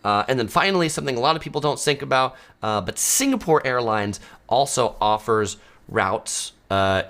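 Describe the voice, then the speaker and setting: A man hosting a travel channel, casually answering viewer questions in a relaxed, conversational way with frequent ums. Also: lowish voice. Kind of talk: casual live Q&A. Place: home studio.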